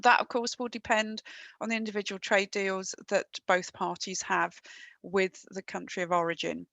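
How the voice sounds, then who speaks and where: A woman's voice: mid-range voice, flat delivery, normal speed, creaky, a bit bright, dry recording. A woman presenting on trade and customs rules in a webinar over a headset. She talks conversationally, with frequent ums and dry, wry asides.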